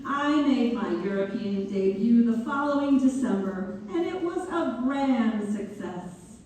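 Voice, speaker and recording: A woman playing Mabel, a genteel pianist turned writer, reminiscing in measured theatrical narration. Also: fairly high pitch, animated, darkish tone. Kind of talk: theatrical first-person narration, read-through. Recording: distant mic in very echoey hall, faint noise.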